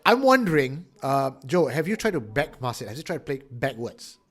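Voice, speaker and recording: A man's voice: mid-range voice, big pitch swings, a little fast, a bit bright, husky. A man hosting a casual panel discussion, talking conversationally. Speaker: show host.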